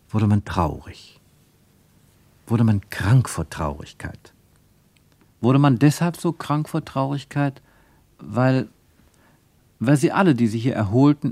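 Guy narrating a literary radio play, expressive swingy delivery, deliberate, dry studio sound. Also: medium pitch, dry recording.

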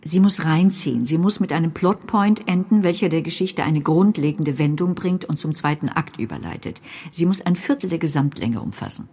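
An actress playing a stern instructor who recites rules insistently, one after another, in a radio drama. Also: female voice, low voice, lively intonation, moderate pace, hardly any pauses, a little mellow, breathy edge, exaggerated voice. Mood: stern, prescriptive. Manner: prescriptive, insistent, list-like.

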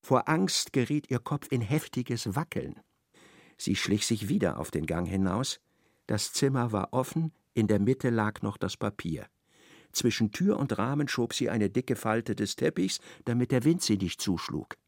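A man narrating a radio play with expressive, dramatic storytelling. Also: medium pitch, a little slow, dry recording, clean studio sound.

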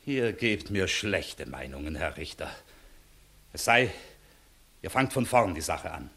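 An actor playing a stern, dignified court inspector in a classical German radio play, formal and reproving. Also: male voice, sits low, sing-song, a little slow, gravelly, fairly bright tone, slightly rough, exaggerated voice.

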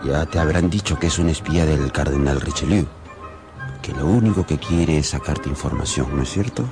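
An actor playing a stern, knowing musketeer commander in a radio drama. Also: male voice, very deep voice, fairly quick. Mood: reproving. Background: music.

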